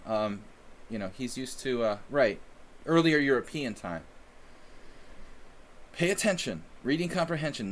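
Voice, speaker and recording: A man's voice: mid-range voice, slight background noise. Chatty American man streaming chess. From United States. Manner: chatty, rambling, self-deprecating. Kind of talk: casual live-stream chat.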